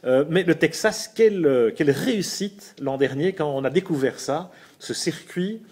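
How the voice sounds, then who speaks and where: A man's voice: fairly high pitch, moderate pace, darkish tone. A man with a Belgian French accent hosting a casual motorsport chat, talking in bursts with many hesitations and restarts. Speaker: host.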